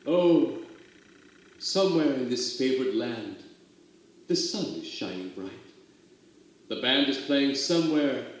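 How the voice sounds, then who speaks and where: A man giving a dramatic poetry recital, with expressive, theatrical storytelling and drawn-out emphasis. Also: fairly high pitch. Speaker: reader of poetry for a theater company. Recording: very echoey, roomy sound.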